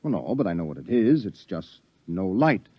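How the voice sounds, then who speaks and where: A man's voice: low voice, very animated, normal speed, slightly rough, no room sound, full character voice. An actor playing a young male reporter in a 1950s radio drama, asking skeptical questions. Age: young adult. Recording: dull old radio recording.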